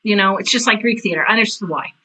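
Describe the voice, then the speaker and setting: A woman's voice: medium pitch, quick pace, sharp tone, a little hiss or hum, rough recording. A woman in her sixties chatting as an interview guest, telling stories in a casual, emphatic way. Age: 60s. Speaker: interview guest, retired theater teacher and director. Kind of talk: casual conversational storytelling.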